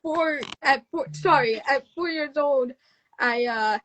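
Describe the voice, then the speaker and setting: A woman in her twenties, a podcast guest telling her own story casually, often halting and repeating phrases. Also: high-pitched, a bit bright, rough recording. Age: 20s.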